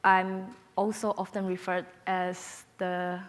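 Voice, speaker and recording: A woman from Brunei introducing herself as an entrepreneur on a panel, lively with fillers. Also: lowish voice, slow pace. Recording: long hall reverb.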